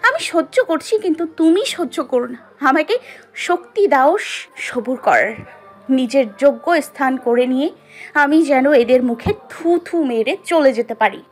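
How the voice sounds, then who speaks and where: A woman playing a wronged, enduring young wife in an audio story, pleading prayerfully with quiet bitterness. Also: high-pitched, animated, measured pace, soft background music. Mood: anguished prayer. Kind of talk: Bengali audio story acting.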